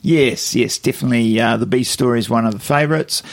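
A man with a New Zealand accent co-hosting a podcast in casual chat, his voice croaky and a little hoarse. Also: mid-range voice, fast. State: slightly hoarse from yelling. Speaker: podcast co-host.

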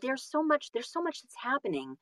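A woman's voice: high-pitched, very animated, fairly quick, fairly bright tone, dry recording, clean studio sound. A middle-aged woman chatting casually on a podcast, self-deprecating, often restarting and repeating her phrases. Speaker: audiobook narrator and guest.

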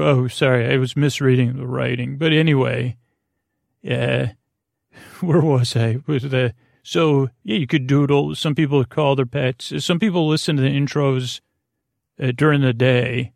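A man with a creaky, gravelly voice at a middling pitch, recorded close and dry. He hosts a bedtime podcast in a rambling, gentle way, reassuring the listener. His sentences halt, double back and drift away unfinished. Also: no room sound. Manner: meandering, halting, often repeats and trails off mid-thought. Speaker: podcast host. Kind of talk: rambling sleep podcast introduction, addressed to the listener.

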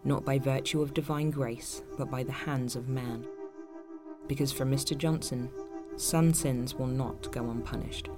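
A man narrates a scripted drama introduction in a measured, solemn way. His voice sits at a middling pitch, with music clearly playing behind it. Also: steady tone. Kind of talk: scripted narration, story synopsis.

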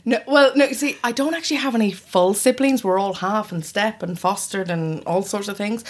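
A woman's voice: mid-range voice, brisk. A woman in her thirties with a mixed English and Irish accent, telling family stories in casual chat and laughing often. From born in the US, raised in England and Ireland.

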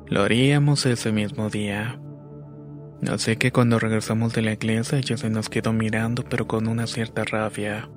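A man reading a scripted horror story as a narrator, in a measured, deliberate way. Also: on the low side, steady tone. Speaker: narrator of listeners' paranormal stories. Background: background music.

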